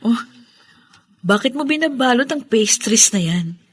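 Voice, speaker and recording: A woman playing a playful, affectionate older sister in a Filipino radio drama, teasing and expressive. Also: fairly high pitch, measured pace, breathy edge. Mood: warm, curious.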